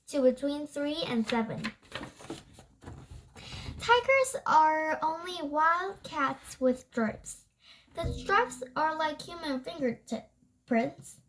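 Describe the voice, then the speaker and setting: A young-sounding reader reads a nonfiction book aloud haltingly, stumbling over words and correcting themselves. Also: sits high, rough recording.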